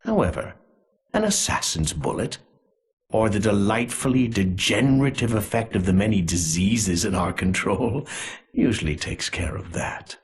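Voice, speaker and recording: An actor voicing a smugly menacing, gleefully cruel conspirator in a video game, delivering a deliberate, theatrical monologue with relish. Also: male voice, lowish voice, big pitch swings, husky, exaggerated voice. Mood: smug menace. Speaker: villain character in a video game. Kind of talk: scripted villain monologue.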